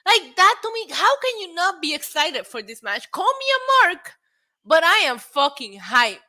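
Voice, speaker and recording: A woman in her late thirties hosting a casual wrestling live stream, excitable and a little tipsy, laughing, swearing and dropping in Spanish phrases as she answers chat. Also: very high voice, normal speed. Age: 30s. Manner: frequent laughter and profanity. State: tipsy from drinks. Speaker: wrestling show host.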